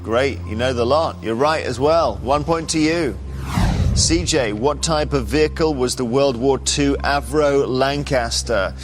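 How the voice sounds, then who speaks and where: A man's voice: medium pitch, unhurried, soft background music. A man hosting a TV quiz show, reading questions and teasing contestants with dry banter.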